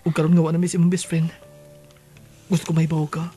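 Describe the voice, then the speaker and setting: An earnest, tender young suitor with a Cebuano Filipino accent, played by a man. He is a lovestruck high school boy in a radio drama, speaking with music underneath. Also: monotone, fast, mellow, lightly acted. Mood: romantic confession. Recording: music behind the voice.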